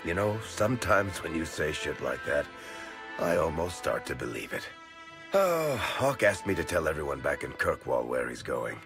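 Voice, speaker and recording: World-weary male dwarf, low voice, swooping sardonic delivery, fantasy game dialogue, music behind. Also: very animated, moderate pace, exaggerated voice. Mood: somber, wry. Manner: sardonic, casual, storyteller's cadence.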